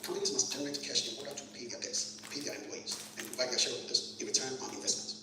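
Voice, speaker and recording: A man delivering a measured, scripted lecture narration. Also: mid-range voice, little pitch movement. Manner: measured, explanatory. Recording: echoey distant playback with noise.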